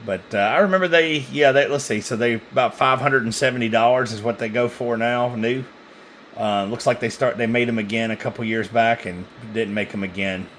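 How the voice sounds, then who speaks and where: A man's voice: mid-range voice, fairly quick, a bit bright. A man casually showing off used radio equipment, unscripted, in a hesitant, rambling way and often correcting himself. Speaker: seller demonstrating used radio gear. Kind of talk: casual unscripted product demo. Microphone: handheld phone. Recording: clear background noise on handheld phone.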